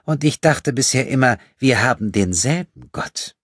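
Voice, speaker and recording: An audiobook nun, prim and righteous, played by a male reader. The voice is pitched well above his own and a little breathy, with a pointed, defensive edge. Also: expressive, moderate pace, dry recording, studio quality, close to an everyday voice. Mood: sharp, offended. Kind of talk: audiobook character acting.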